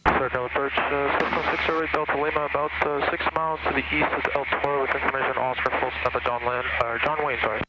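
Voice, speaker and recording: A muffled, very noisy aircraft radio carries a male pilot checking in. His tone is flat. The words come quickly, with some hesitation. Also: medium pitch. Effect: aviation radio. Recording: muffled radio with loud engine noise.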